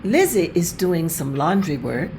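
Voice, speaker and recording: A woman narrating a script at a table read, reading scene directions in a plain, steady way. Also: low voice, unhurried, noisy background.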